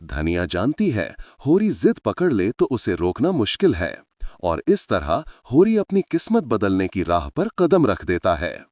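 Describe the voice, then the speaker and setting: A man's voice: fairly low pitch, wildly swooping pitch, measured pace, a little mellow, no echo, studio quality. A man narrating a story in a dramatic scripted style, speaking to his listeners as friends.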